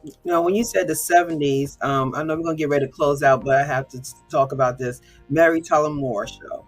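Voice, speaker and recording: A woman's voice: deep voice, steady tone, a little fast, faint music behind. A woman hosting a podcast interview, chatty and encouraging with frequent affirmations. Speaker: podcast host.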